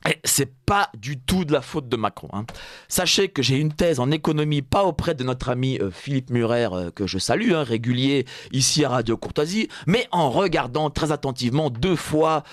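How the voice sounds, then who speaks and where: A man's voice: mid-range voice, expressive, moderate pace, no room sound. A man with a French accent giving a satirical commentary in a radio studio, sarcastic and mock-solemn, laughing at his own jokes. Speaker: radio columnist.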